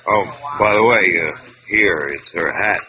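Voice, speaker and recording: An American actor playing a tired, friendly, matter-of-fact police lieutenant, casual and low-key, in a 1940s radio drama. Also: male voice, a little slow. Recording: muffled, noisy vintage radio recording.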